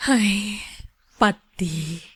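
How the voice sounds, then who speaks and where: A woman's voice: medium pitch, extremely slow, husky, dry recording, heavily acted. A woman playing a shy, demure older woman being courted in a radio drama, hesitant in her answers. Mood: hesitant, reluctant.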